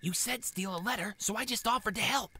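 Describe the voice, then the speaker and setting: A man's voice: sits high, a little fast, bright, forceful tone, breathy edge, voice pushed up, exaggerated voice. A man voicing a young male pickpocket, defensive and unsure, in a storybook reading.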